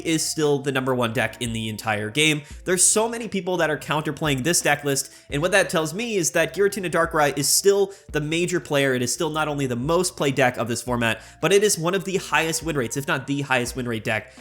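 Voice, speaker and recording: Young male host, stuffy from a cold, brisk and upbeat, slightly high pitch. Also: fairly bright tone. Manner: rapid, enthusiastic, many fillers. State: sick, sniffly. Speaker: channel host. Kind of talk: energetic game tier-list commentary.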